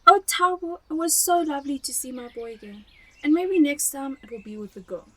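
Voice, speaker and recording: A fussy, affectionate elderly wife and mother, played by a woman in an amateur radio drama. The voice sits high and moves about a lot, full of motherly concern. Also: animated, brisk, bright, forceful tone, rough recording. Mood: warm, fussing.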